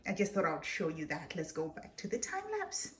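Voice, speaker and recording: A woman's voice: low-pitched, wildly swooping pitch, brisk, a bit bright. A woman in her thirties from Zimbabwe with a Zimbabwean accent, chatting casually to camera while she tidies at home. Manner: chatty, laughs easily, talks while tidying. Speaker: vlogger. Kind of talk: casual vlog chat to camera. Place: home kitchen, indoors. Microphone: camera on counter, medium distance. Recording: echoey kitchen with faint noise.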